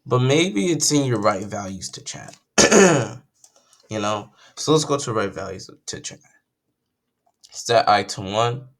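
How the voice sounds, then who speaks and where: A young man, croaky from just waking up, talks through a casual coding tutorial at his computer, thinking aloud and correcting himself as he goes. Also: on the low side, expressive, slow pace, long pauses, a bit bright.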